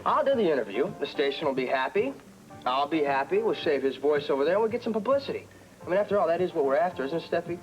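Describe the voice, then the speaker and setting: An actor playing an eager young man who talks quickly and brightly to help his friend. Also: a little high, expressive, faint music behind, natural voice, poor audio. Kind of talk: 1980s TV soap acting.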